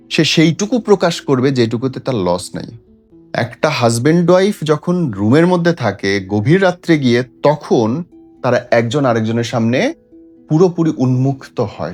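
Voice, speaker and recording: A man with a Bangladeshi accent, a host giving opinionated relationship advice in an emphatic, preachy way with rhetorical questions. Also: mid-range voice. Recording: music playing behind the voice. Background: background music.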